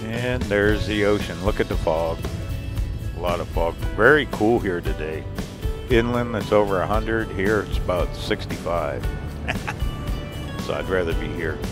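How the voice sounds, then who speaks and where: A man with a low voice talks casually while he drives and stops to look around, rambling a little and chuckling now and then. Clear background music plays under his narration. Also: a little slow. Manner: relaxed, rambling, chuckles often. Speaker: RV travel vlogger. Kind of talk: casual driving tour narration. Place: in a vehicle driving, and outdoors by the water.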